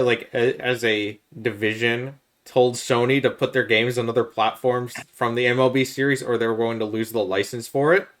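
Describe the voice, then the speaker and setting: Male podcast host, medium pitch, informal and explanatory, for casual video game chat. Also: a bit bright. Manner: explanatory, makes points step by step.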